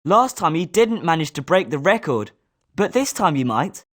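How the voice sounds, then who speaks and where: Lowish, animated British schoolboy played by a woman. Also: moderate pace. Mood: encouraging. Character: confident schoolboy wizard, sporting and competitive. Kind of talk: scripted video game character lines.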